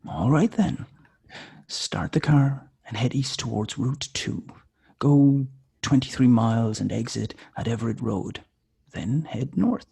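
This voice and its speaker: Man playing a husky, menacing kidnapper. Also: medium pitch, a little slow, dark tone, full character voice. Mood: commanding. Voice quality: husky, breathy, gravelly. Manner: controlled, commanding, threatening. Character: menacing male kidnapper threatening a mother by phone. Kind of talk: screenplay table read.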